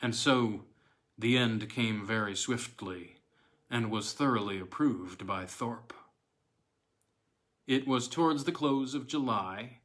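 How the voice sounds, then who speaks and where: A man reading a classic horror story aloud as audiobook narrator, in a measured, deliberate way with dramatic pauses. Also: fairly low pitch, a bit bright.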